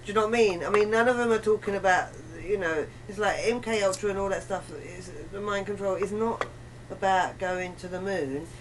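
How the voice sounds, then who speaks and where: A woman's voice: medium pitch, brisk, a crowd in the background. A woman chatting casually, emphatic and often checking that her listener agrees. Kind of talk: casual chat, conversational. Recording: clearly noisy background.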